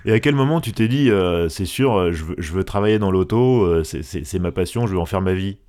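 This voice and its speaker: A man's voice: low-pitched, animated, fairly quick, darkish tone. Enthusiastic man hosting a car podcast. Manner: enthusiastic, stammers and restarts a lot. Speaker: podcast host, car journalist. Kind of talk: podcast interview.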